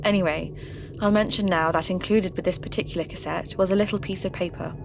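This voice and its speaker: Folklore podcast host female actor: slightly low voice, reflective, earnest scripted narration, confiding delivery. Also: steady tone, fairly quick, vocal fry, noisy background. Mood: musing, wry. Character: earnest, curious woman hosting a folklore investigation podcast.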